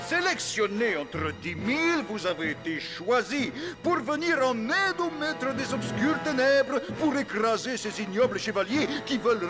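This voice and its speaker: A man playing a pompous, imperious cyclops henchman in a French cartoon dub, speaking in inverted word order. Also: extremely high pitch.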